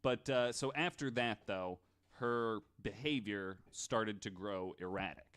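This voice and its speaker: Low-voiced man hosting a podcast, dry sound. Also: a little slow, a bit bright, clean tone, no echo. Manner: wry joking asides. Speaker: podcast host. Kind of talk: casual retelling of stories with banter.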